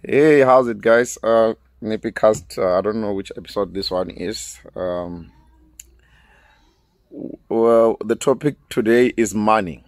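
A man in his thirties with a Venda South African accent chats easily on his own podcast, his voice sitting a little low. He laughs often and lets sentences fade out softly. The sound is dry and close, from a big studio mic outdoors. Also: unhurried, dry recording. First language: Tshivenda. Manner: laughs often, easygoing, trails off quietly. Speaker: podcast host. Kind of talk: casual solo podcast chat with phone call. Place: outdoors in a sunny garden. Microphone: large studio mic close up.

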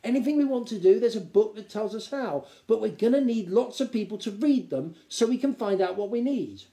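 A man's voice: high-pitched, expressive, brisk, dark tone, voice pushed up. Man playing an eager, stammering young gnome. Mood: proud eagerness. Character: earnest, awkward young male gnome reformer.

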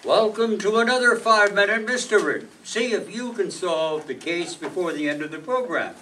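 A man's voice: high-pitched, lively intonation, normal speed, pushed and bright, slight background noise. A man announcing in the style of old-time radio. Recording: distant hall mic.

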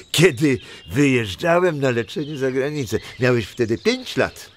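A man playing a jovial, doting old grandfather in a children's audio drama, with chuckles and drawn-out exclamations. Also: medium pitch, lively intonation, a little hiss or hum, close to an everyday voice. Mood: fond, reminiscing.

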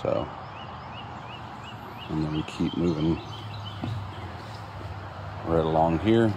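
Deep-voiced man touring a building site. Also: slow. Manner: relaxed, plain-spoken, many ums and restarts. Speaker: homeowner showing his build. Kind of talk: casual walkthrough narration. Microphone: phone camera held while walking. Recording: outdoor phone audio with clear background noise.

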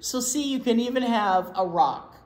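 A woman's voice: fairly high pitch, moderate pace. An older woman reading a picture book aloud to children expressively, with chatty asides. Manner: expressive, animated. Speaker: children's library storytime reader. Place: indoor library storytime room. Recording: echoey room with background noise.

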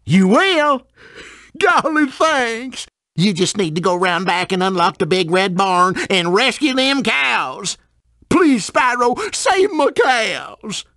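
A man's voice: sits high, sing-song, takes it slowly, sharp tone, no echo, studio quality, full character voice. A man voicing a simple, good-natured video game farmer with a folksy rural American accent. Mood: worried, pleading. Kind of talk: video game character dialogue.

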